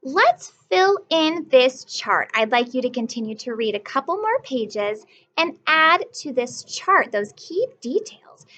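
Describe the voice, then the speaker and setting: A woman's voice: high-pitched, sing-song, unhurried, fairly bright tone, a little hiss or hum. A woman teacher reading a picture book aloud to young children, stopping often to ask them questions and praise them. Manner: encouraging, asks children questions.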